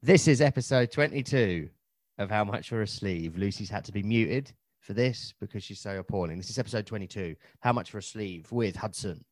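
Sweary bloke co-hosting a podcast. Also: male voice, lowish voice, expressive, brisk, dry recording. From England. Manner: blunt, sweary banter. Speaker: podcast co-host. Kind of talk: casual chat.

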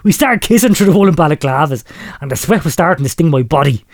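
A man's voice: fairly high pitch, quick pace, no room sound, clean studio sound. A man hosting a comedy podcast, reading out a listener letter with mock drama and frequent laughter. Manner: laughs often while reading, lively mock storytelling. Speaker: podcast host.

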